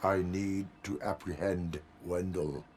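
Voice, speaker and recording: A man's voice: low voice, steady tone, slow pace, a little mellow. A man voicing a stern, dutiful cyborg police officer in a game, with clipped, formal commands. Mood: stoic, insistent.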